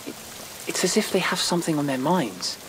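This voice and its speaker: A man's voice: fairly high pitch, big pitch swings, fairly quick, noisy background. A man acting in a radio drama as an anxious young male rabbit seer, uneasy and intense. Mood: troubled, insistent.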